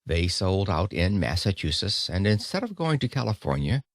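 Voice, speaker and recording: A man reading an audiobook of a speech in an expressive storytelling manner, with dramatic pauses. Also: low voice, no room sound, clean studio sound. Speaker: audiobook narrator. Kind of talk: scripted audiobook narration of a speech.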